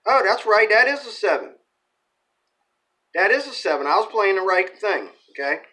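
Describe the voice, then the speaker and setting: A man's voice: high voice, big pitch swings, moderate pace, sharp tone. A man giving an informal ukulele lesson, thinking aloud in a rambling, folksy way and correcting himself as he goes. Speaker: ukulele teacher and channel host. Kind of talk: informal instructional talk with playing. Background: ukulele strumming.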